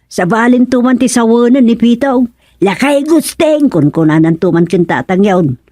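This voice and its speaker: A woman's voice: fairly high pitch, expressive, normal speed, warm tone, no room sound, clean studio sound. A woman with an Ilocano accent acting a gossipy, insistent villager in a radio comedy-drama.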